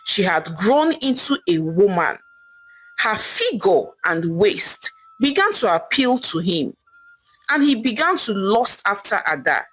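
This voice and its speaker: A woman narrating a scripted folktale in a dramatic, expressive storytelling manner. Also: mid-range voice, unhurried, slightly rough, rough recording. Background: background music.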